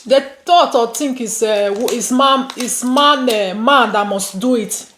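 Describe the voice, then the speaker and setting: A woman's voice: on the high side, measured pace, fairly bright tone. A woman with a Nigerian accent hosting a mukbang vlog, chatting casually as she eats. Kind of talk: casual chat while eating. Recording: roomy echo, faint noise, dish clinks. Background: clicks, cutlery and dishes.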